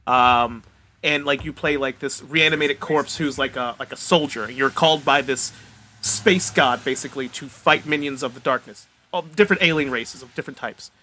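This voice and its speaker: A man's voice: medium pitch, normal speed, bright, forceful tone. A man co-hosting a podcast, explaining things casually in the easy talk of a gamer. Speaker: podcast co-host. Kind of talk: casual chat.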